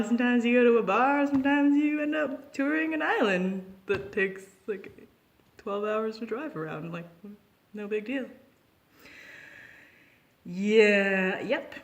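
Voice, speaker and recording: A woman's voice: high voice, highs cut off. A young woman telling a personal story in a casual, unscripted vlog style, chatty and giggly, slipping into acted-out dialogue. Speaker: storytelling vlogger.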